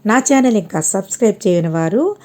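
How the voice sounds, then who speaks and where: A woman's voice: mid-range voice, animated, a little fast, mellow. A woman narrating a scripted moral folk tale as a storyteller for an audiobook. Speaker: storyteller and channel host.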